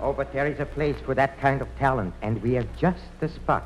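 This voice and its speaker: A man's voice: mid-range voice, fairly quick, close to an everyday voice. Man acting scheming sardonic fellow, noisy old radio. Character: scheming, sardonic male talent judge.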